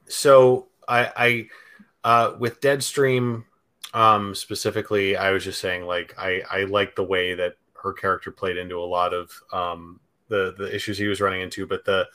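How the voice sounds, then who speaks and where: A man's voice: low voice, bright, forceful tone. A man co-hosting a casual film chat, speaking hesitantly with many ums and restarts. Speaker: podcast and stream co-host.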